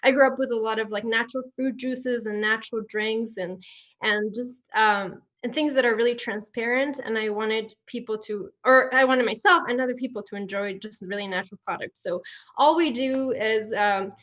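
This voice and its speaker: A young woman from Ecuador pitching her product informally on a video call as a founder, with halting, hesitant phrasing. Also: a bit bright, highs cut off. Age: young adult. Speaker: startup founder, guest. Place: indoor room at home. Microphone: laptop video call.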